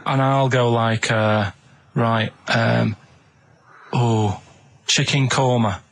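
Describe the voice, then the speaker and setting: A man in casual comic chat, explaining things earnestly in a halting, muddled way. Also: mid-range voice, steady tone, a little hiss or hum. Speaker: radio show regular pitching ideas.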